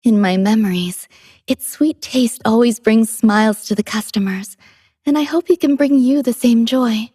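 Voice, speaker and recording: A woman voicing a gentle, devoted female android musician in a video game, soft-spoken, tender and poetic in a measured delivery. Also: a little high, slightly rough, no room sound, clean studio sound. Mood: tender, serene. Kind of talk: scripted game character voice lines.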